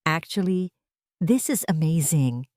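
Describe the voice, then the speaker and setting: A woman's voice: deep voice, expressive, slow pace, darkish tone, no room sound, studio quality. A woman voicing a courteous, friendly and admiring male food vlogger in an audio drama. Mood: amazed.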